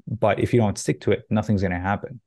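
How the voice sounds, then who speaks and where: Low, creaky young man. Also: quick pace, a little mellow, dry recording. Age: young adult. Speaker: fitness coach, podcast guest. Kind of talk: casual interview talk. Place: indoor home office, video call. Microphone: close desk microphone.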